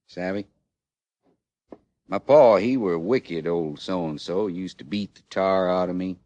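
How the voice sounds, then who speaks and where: A doomed outlaw of the American West for a radio drama. He speaks low in a dry, unechoing space, with a rustic Western drawl. His delivery is halting, folksy and full of plain regret. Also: a little mellow, no room sound, lo-fi sound. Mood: rueful, matter-of-fact. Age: 30s. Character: condemned thirty-six-year-old frontier outlaw, rueful and plainspoken. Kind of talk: mid-century American radio western acting.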